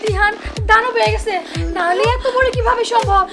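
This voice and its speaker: A woman's voice: extremely high pitch, steady tone, brisk. A woman with a Bangladeshi accent playing an earnest, comforting young aunt in a fairy-tale cartoon. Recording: loud music behind the voice.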